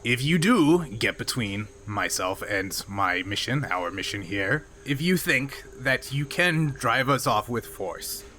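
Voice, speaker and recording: A man's voice: mid-range voice, sing-song, takes it slowly, hardly any pauses, bright, forceful tone, a little hiss or hum, full character voice. Man playing a blustery older Klingon boss. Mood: stern, proud. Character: jolly older male Klingon mine boss, proud, firm.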